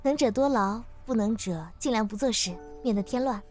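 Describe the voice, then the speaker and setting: Reserved young secretary, higher voice, acted by a woman. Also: brisk, a little mellow, soft background music, close to an everyday voice. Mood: guarded, earnest. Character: reserved, candid young female office secretary. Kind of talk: modern audio drama acting.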